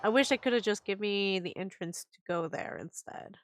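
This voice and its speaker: A woman's voice: medium pitch, normal speed. A woman streaming a video game, giving casual, chatty and playful live commentary. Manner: playful, chatty, reacts aloud.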